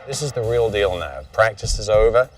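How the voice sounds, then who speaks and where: A man's voice: lowish voice, expressive, normal speed. Upbeat man leading a motorcycle tour. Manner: upbeat, encouraging, direct. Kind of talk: briefing a riding group. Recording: distant mic, faint music behind.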